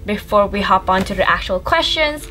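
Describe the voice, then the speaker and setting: A twenty-year-old woman from Sweden co-hosting a casual chat, relaxed and full of slang and "like". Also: mid-range voice, normal speed, faint music behind, low-quality recording. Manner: relaxed, trendy slang, many "like" fillers. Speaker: YouTube co-host.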